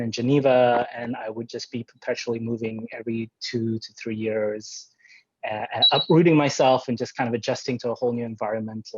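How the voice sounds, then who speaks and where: A man's voice: mid-range voice, dry recording. A man answering interview questions over a video call, hesitant and reflective with many fillers. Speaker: interview guest. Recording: dry, dull video-call audio.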